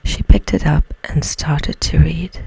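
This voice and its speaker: A woman whispers a bedtime story in a hushed, breathy ASMR style, close to the mic. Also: deep voice, fairly even intonation, rough recording. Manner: slow, hushed, soothing delivery.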